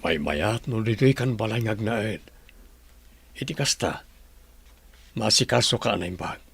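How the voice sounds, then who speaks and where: An actor in a radio drama playing an earnest, remorseful husband who pleads with devotion. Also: male voice, lowish voice, animated, a little slow, gravelly, a bit bright, a little hiss or hum, heavily acted. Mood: remorseful, tender.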